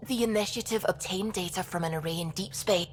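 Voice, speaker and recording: An actress playing an earnest, curious and sociable young science crew member in a video game, chatty with hesitant restarts. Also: female voice, on the low side, a little fast, slight background noise, close to an everyday voice. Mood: thoughtful.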